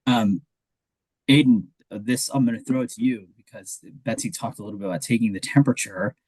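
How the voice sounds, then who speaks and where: A man moderating an interview, asking long questions with halting ums and uhs. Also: medium pitch, a little mellow, slightly rough, no echo, low-quality recording.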